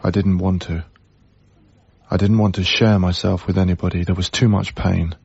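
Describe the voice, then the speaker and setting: A man's voice: low voice, little pitch movement, moderate pace, gravelly, a little mellow, breathy edge, dry recording, muffled recording, heavily acted. An actor playing a heartbroken, guarded young man, wry and reflective, in a radio drama. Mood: brooding, reflective. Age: young adult. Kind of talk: radio drama acting with intimate first-person narration.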